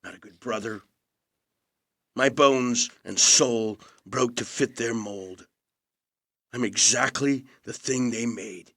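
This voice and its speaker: Quietly intense estranged older brother. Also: male voice, medium pitch, slow pace, pauses often. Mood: wounded, earnest. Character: charming, self-blaming movie star, estranged older brother. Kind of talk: intimate audio play acting.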